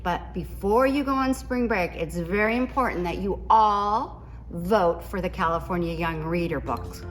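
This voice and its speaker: A woman reading a picture book aloud to children in an expressive storytelling style. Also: lowish voice, a little slow. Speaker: picture book reader at a school.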